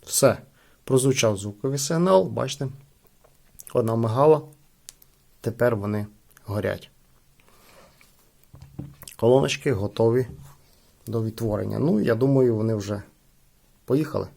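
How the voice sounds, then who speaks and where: Guy doing a casual unboxing review, gravelly voice, chats to viewers like friends. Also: mid-range voice, measured pace, long pauses, dark tone. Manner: informal, addresses viewers as friends. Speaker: gadget unboxing channel host. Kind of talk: casual product review to camera.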